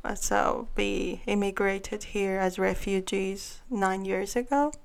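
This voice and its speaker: A woman's voice: medium pitch, steady tone, takes it slowly, creaky, clear, resonant tone. A woman in her thirties from Iran, answering interview questions with careful, thoughtful phrasing. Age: 30s. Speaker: guest artist.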